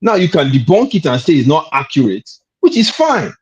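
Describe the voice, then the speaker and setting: A man in a heated panel debate, his pitch swinging lively as he pushes his point. He keeps cutting in to claim the floor, and the sound is dull and lo-fi like a call. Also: fairly quick. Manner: argumentative, keeps interjecting to hold the floor. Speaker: panel guest.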